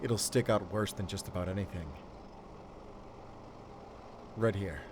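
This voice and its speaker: A man's voice: low voice, steady tone, a little fast, close to an everyday voice. Man acting a resolute wolf hunter amid noise. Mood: tense, practical. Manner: urgent, commanding. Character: urgent, resolute male wolf hunter, protective of companion. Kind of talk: cinematic audio drama acting.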